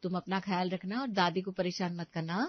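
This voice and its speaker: A woman's voice: fairly low pitch, little pitch movement, brisk, no room sound, highs cut off, lightly acted. Woman playing a soothing, placating young mother.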